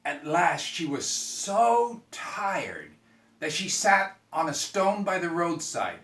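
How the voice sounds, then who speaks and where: A man's voice: fairly high pitch, very animated, slow, fairly bright tone, far from the mic. A man narrating a children's bedtime story as a storyteller. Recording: echoey room, off the mic.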